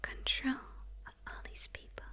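A woman's voice: pushed and bright, lightly acted. Woman whispering as a gloating demon villainess. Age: young adult. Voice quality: breathy whisper.

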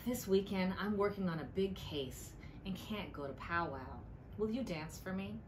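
A woman's voice: medium pitch, normal speed, lightly acted. A woman voicing a hard-working grown-up female cousin in a storybook, matter-of-fact and obliging. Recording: clearly noisy room ambience.

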